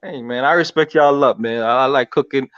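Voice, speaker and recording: A man from Ethiopia, whose first language is Oromo, talking basketball as a podcast guest in an animated, emphatic way. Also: medium pitch, fairly quick, smooth. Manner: animated, emphatic, calls everyone "man" and "bro". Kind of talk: casual livestream basketball chat. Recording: muffled lo-fi livestream audio.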